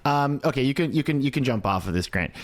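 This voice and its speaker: A man's voice: mid-range voice, animated, quick pace. Man in his thirties hosting a podcast. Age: 30s. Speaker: podcast host. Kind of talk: conversational podcast explaining.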